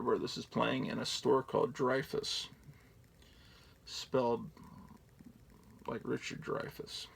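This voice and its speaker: A middle-aged man in a casual, rambling show-and-tell, profane and digressive with a self-mocking streak. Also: medium pitch. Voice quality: gravelly.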